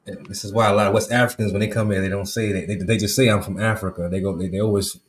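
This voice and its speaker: A man with a low voice chiming in on a talk-show panel. He mostly agrees and adds short comments of his own. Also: little pitch movement, very fast, slight background noise. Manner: agreeing backchannels and brief remarks.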